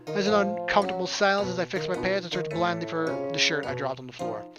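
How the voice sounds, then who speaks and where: A man's voice: high-pitched, steady tone, quick pace. A man hosting a gaming video, reading visual novel narration aloud with wry, casual asides. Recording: game music behind the voice. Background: game music.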